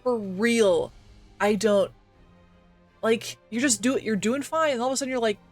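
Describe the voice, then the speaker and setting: A man streamer, slightly raspy from coughing, chatting casually and self-deprecatingly with lots of fillers and quick laughs while he plays. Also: extremely high pitch, faint music behind. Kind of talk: casual live-stream chat while gaming.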